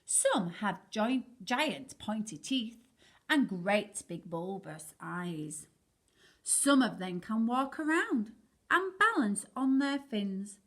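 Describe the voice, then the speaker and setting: A woman reading a rhyming children's picture book aloud in an expressive storytelling style. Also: mid-range voice.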